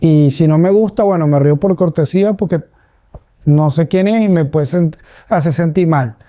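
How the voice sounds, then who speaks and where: A man's voice: a little high, moderate pace, warm tone, no room sound. A man with a Caracas Venezuelan accent giving reflective, conversational answers as a comedian being interviewed.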